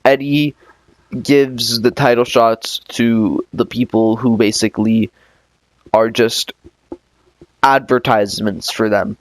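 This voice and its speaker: A man's voice: mid-range voice, steady tone, dry recording, low-quality recording. Rambling male podcast host, dry sound. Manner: rambling, halting, many fillers and restarts. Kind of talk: casual interview chat.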